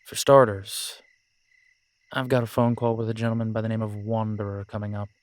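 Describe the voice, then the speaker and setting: A man playing a determined, pragmatic werewolf pack leader in an audio roleplay, measured, reassuring and matter-of-fact. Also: lowish voice, level tone, no echo, natural voice. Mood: businesslike.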